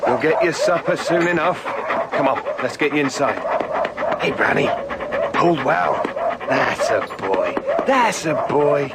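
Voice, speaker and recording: Unhurried dog handler played by a man, loud noise. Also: on the high side, sing-song. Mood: coaxing. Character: easygoing, affectionate male sled-dog handler. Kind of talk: audio drama acting. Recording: very noisy outdoor scene with dogs.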